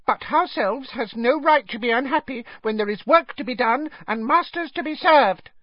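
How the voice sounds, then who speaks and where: Male house elf, high squeak, humble, servile, formally polite, broken grammar, storybook character. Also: normal speed, no echo, highs cut off. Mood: apologetic, firm. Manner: grovelling, formally polite, ungrammatical.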